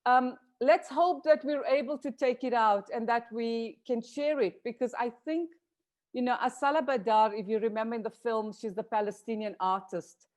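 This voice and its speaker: A woman's voice: a little high, normal speed, a little mellow. A woman from South Africa answering questions on a video call in an earnest, grateful way, with frequent restarts. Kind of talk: reflective panel discussion.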